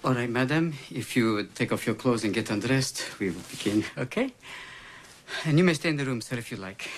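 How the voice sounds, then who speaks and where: An actor playing a nervous, easily flustered Russian obstetrician with a Russian accent, speaking broken, muddled English in a comedy film. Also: male voice, mid-range voice, expressive, a little fast, slight background noise, lightly acted. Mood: fussily reassuring. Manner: broken English with muddled words and misplaced formality.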